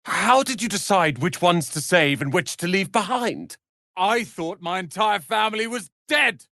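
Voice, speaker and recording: A man voicing a proud, sharp-tongued young wizard's apprentice and protective brother in a fantasy video game, articulate and theatrical. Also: sits high, lively intonation, a little slow, no room sound, clean studio sound, exaggerated voice. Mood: anguished, desperate.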